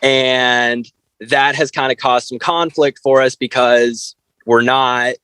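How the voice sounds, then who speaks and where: A man's voice: medium pitch, level tone, slow pace, pushed and bright, slight background noise. A man speaking as an interview guest in casual podcast chat, blunt and candid, swearing freely and peppering his talk with "like". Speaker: band frontman, interview guest.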